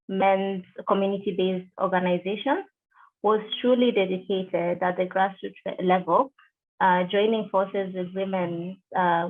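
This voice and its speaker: A middle-aged woman from Kenya, interviewed over a video call, speaking in a measured way with frequent fillers and restarts. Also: mid-range voice. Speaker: interviewee, deputy country director. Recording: tinny call audio.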